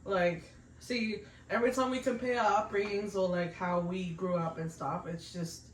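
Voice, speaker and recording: A woman's voice: medium pitch, steady tone, moderate pace, a little hiss or hum. A young woman from New Zealand with a New Zealand accent, chatting and teasing her partner in casual banter, laughing often. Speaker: co-host of couple food channel. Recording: echoey room, mic at a distance.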